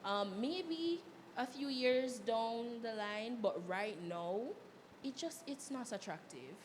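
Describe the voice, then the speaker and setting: A hoarse 21-year-old woman student speaking frankly and bluntly on a live panel. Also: fairly high pitch, expressive, takes it slowly. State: hoarse, a bit sick. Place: event hall, live audience. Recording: hall reverb with faint noise.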